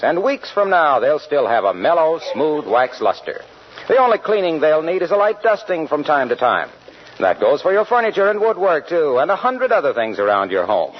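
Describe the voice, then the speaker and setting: A man's voice: on the high side, breathy edge. An American man announcing in a scripted 1940s radio sales style. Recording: muffled vintage radio broadcast with music.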